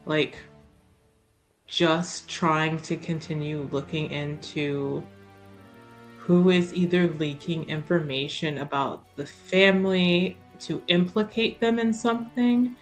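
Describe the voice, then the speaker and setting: A woman playing a tabletop game, talking casually and tentatively as she thinks aloud. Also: lowish voice. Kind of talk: casual improvised game talk.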